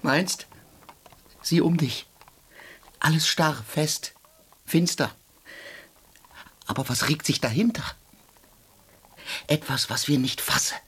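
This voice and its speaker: An actor in a radio drama playing a haunted, agitated poor soldier and father who broods in hushed, disjointed phrases. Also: a little high, slow pace, pauses often, husky, exaggerated voice. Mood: haunted, urgent.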